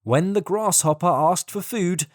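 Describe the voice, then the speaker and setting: A storyteller narrating a scripted children's tale in an expressive, deliberate way. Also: mid-range voice, no echo, studio quality.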